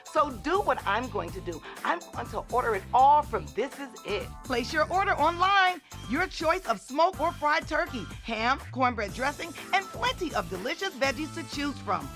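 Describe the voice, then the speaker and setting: Bubbly lady doing a radio ad, high and all over the place, music under it. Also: big pitch swings, normal speed, hardly any pauses. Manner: bubbly, sing-song. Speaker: ad spokeswoman. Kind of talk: upbeat radio ad read.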